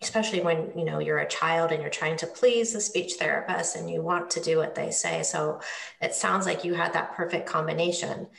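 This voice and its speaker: A middle-aged woman moderating a panel over a video call from home, with a gentle manner and frequent repeated words. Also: low voice, steady tone, a little fast, creaky. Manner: gentle, repeats words, asks follow-up questions. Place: room at home, indoors. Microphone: laptop webcam on video call. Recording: echoey room sound.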